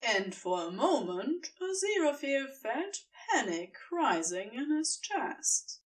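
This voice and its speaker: Slow, melodic woman storyteller. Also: high-pitched, far from the mic. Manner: expressive, tender storytelling. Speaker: narrator. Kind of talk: scripted fiction narration.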